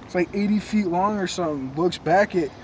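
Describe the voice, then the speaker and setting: A young man telling a creepy story in casual slang, recorded in a dark room on a camera a few feet away. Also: high voice, a little fast. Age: young adult. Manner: slangy, laid-back, joking. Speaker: co-host. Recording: noisy camera audio.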